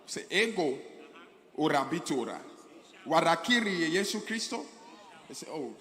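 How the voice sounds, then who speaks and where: A man called up during a service, speaking in a halting, unsure way. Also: fairly high pitch, sing-song, fairly bright tone. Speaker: student called up at a church service. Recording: long hall reverb.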